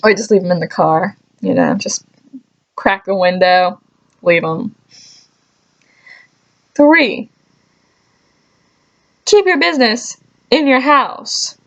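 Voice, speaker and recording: A woman's voice: mid-range voice, animated, slow pace, long pauses, a bit bright, muffled recording. A woman vlogger telling stories casually, with a joking, mock-scolding manner and dry asides. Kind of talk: casual comedic storytelling to camera.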